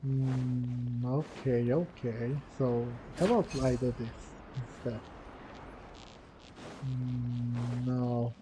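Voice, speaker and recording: A man's voice: medium pitch, level tone, drawn-out words, dark tone, slight background noise, rough recording. A man giving casual playthrough commentary on a video game, musing hesitantly as he thinks aloud. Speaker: gaming video creator, player. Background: game music.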